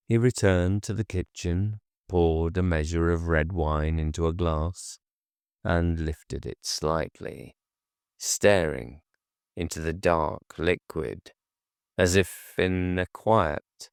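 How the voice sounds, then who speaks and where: Very deep, slow male narrator. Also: smooth, dry recording, clean studio sound. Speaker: audiobook narrator. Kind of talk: scripted narration.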